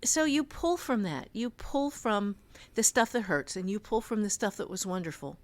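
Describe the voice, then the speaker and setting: Female podcast co-host, mid-pitched, conversational advice and storytelling, recorded dry. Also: animated, normal speed, dry recording. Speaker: podcast co-host on fiction writing. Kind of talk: casual conversational advice and anecdotes.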